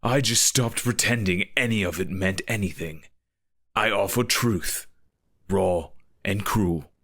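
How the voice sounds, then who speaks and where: A bitter, ruthless fallen leader acted by a man in a gothic audio drama. The voice is husky and deliberate, in a very dry recording. He speaks with slow, cynical defiance. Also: deep voice, fairly bright tone, dry recording, exaggerated voice. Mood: defiant, bitter.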